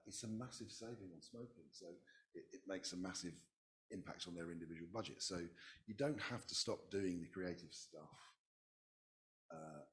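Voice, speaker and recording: A man on a conference panel, recovering from a virus, speaking in a halting way and often repeating words. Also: low-pitched. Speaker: public health specialist on a panel.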